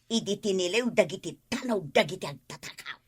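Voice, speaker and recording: A woman's voice: medium pitch, lively intonation, a little fast, husky. A woman with an Ilocano accent playing a fretful, gossipy village neighbour in a radio comedy drama, chatty and dramatic.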